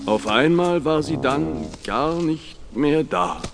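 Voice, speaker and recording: A big, confident elephant from a children's audiobook. He speaks slowly and deliberately, with lively melody and a gentle temper, over light music. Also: on the high side. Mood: sad, gentle. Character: large male zoo elephant, gentle, confident, adventurous.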